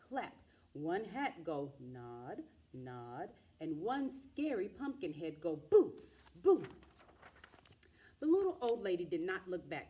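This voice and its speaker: A middle-aged woman reading a children's picture book aloud in an expressive, dramatic way, drawing out the sound words. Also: fairly low pitch. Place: small indoor room with wall cards and pillows.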